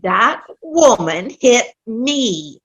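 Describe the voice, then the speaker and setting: A woman's voice: a little high, sing-song, husky, heavily acted. A woman playing a querulous, sour old woman who complains about her pains in a comic play reading. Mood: defensive, fussy. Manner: querulous, slow, dramatic complaining. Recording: video call play reading.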